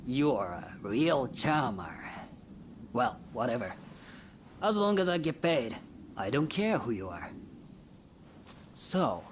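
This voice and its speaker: An offhand, cool mercenary informant. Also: male voice, mid-range voice, slight background noise. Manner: offhand, terse, dry. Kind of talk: dramatic video game dialogue.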